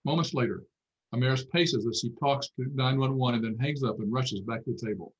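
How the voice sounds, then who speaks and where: Man reading screenplay directions, mid-pitched. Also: brisk, highs cut off. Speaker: narrator reading stage directions. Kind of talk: screenplay table read.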